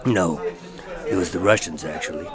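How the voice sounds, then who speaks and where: A man's voice: low voice, normal speed, a crowd in the background, natural voice. A man acting as a terse, guarded undercover agent in a radio drama, understated in delivery.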